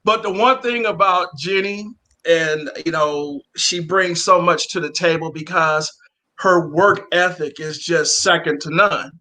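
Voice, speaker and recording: A man's voice: a little high, measured pace, pushed and bright. A man hosting a podcast interview in a casual, rambling way, with many fillers and restarts. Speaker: podcast host.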